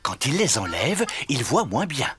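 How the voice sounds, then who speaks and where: A man's voice: medium pitch, very animated, a little slow, music in the background. A man narrating a children's cartoon from a script, telling the story simply for young listeners.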